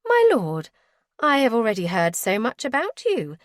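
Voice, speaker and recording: A bold young society lady, voiced by a woman, gushing and sure of herself. Her voice jumps high and loud with eager lift. It is dry and close. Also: wildly swooping pitch, moderate pace, no room sound. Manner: gushing, self-assured.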